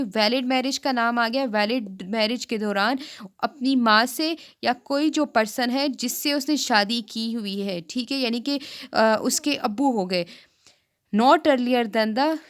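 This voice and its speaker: A Pakistani woman giving an informal explanatory lecture, halting and repetitive, switching into English for technical terms. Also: on the high side, clean tone. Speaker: law lecturer for exam aspirants.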